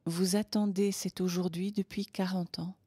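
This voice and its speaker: A woman interprets a talk live, phrase by phrase, in a steady, plain manner. Her voice is lowish, mellow and slightly husky, and at times she drops to a soft whisper. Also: moderate pace, dry recording. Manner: steady, plain delivery, sometimes softly whispered.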